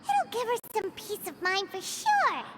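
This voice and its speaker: A woman voicing a tiny, excitable fairy-like companion girl in a video game, with a childlike squeaky voice. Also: unhurried, heavy background noise, exaggerated voice. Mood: excited.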